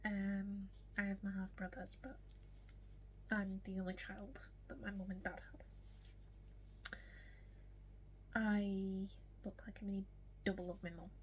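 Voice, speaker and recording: A young woman speaks with a strong Yorkshire accent in a casual, whispery get-to-know-me vlog, full of ums and the odd hiccup. Her pitch stays flat and even. A faint noise sits under her voice. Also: slow pace, pauses often, poor audio. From West Yorkshire, England. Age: young adult. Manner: soft, frequently whispery delivery with many ums. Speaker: vlogger.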